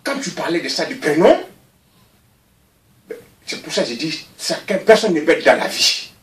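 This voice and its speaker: Animated man, rambling, voice swinging up and down. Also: a little high, normal speed, roomy sound, far from the mic. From Mali. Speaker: interviewee. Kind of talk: recorded video interview.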